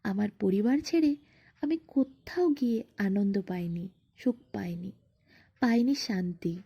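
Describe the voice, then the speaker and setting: A woman voicing a little fairy, a devoted young mother who speaks tenderly and firmly in unhurried phrases. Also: medium pitch, creaky, mellow, husky, highs cut off, pitched below the performer's own voice. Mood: tender, resolute.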